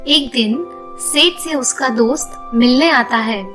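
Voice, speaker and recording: A woman's voice: sits high, slow, sharp tone, soft background music. A woman giving scripted narration of children's moral stories.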